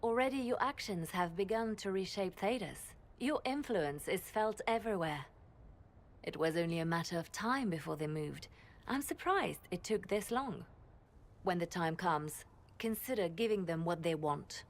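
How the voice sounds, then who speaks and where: An actress playing a composed spymaster who speaks softly and deliberately, with a conspiratorial edge. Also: female voice, on the low side, lively intonation. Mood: measured. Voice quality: soft, measured, quietly conspiratorial. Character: composed, scheming spymaster woman.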